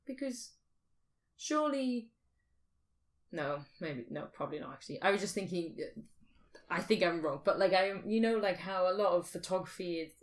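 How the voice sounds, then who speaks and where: A woman with a slightly low voice talks casually as a podcast co-host. She thinks out loud, posing questions and reasoning her way through speculative ideas. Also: lively intonation, normal speed, long pauses.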